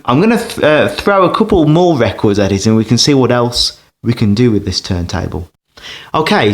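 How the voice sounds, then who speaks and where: A man giving a casual hands-on demonstration as a record collector, pausing and hesitating as he talks. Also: mid-range voice. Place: indoors beside a turntable.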